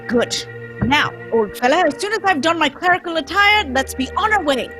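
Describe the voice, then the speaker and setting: A woman playing a confident, scheming gentleman detective in a radio drama, brisk and commanding. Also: high-pitched, expressive, over music. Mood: confident, conspiratorial. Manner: brisk, commanding, self-assured. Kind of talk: old-time radio drama script read live.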